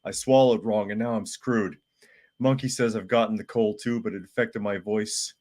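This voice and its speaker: A man with a sore, hoarse throat who hosts a live podcast, reading viewer comments aloud in a plain-spoken, casual way. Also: fairly low pitch, fairly even intonation, moderate pace, darkish tone. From United States. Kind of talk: casual live-stream chat.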